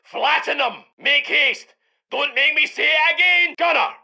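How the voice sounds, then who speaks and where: An actor playing a gruff British tank commander in a war video game, barking short military orders in a forceful, gravelly voice. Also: male voice, very high-pitched, unhurried, full character voice. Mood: commanding. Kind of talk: shouted military game barks.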